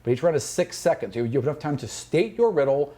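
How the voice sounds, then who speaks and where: A man's voice: on the high side, lively intonation, fast, dark tone. A man running a tabletop fantasy game as game master, narrating descriptively and voicing sound effects.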